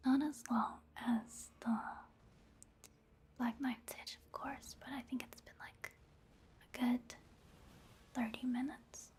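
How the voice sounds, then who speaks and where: A woman giving soft-spoken ASMR commentary over gameplay in a breathy, hushed whisper, unhurried. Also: on the high side, steady tone. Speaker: ASMR video creator narrating her gameplay.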